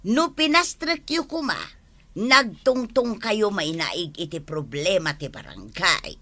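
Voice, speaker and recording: Woman acting sharp, chiding Ilocano village wife. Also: mid-range voice, lively intonation, slow, full character voice. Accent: Ilocano, Philippines. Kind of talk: comedy drama dialogue.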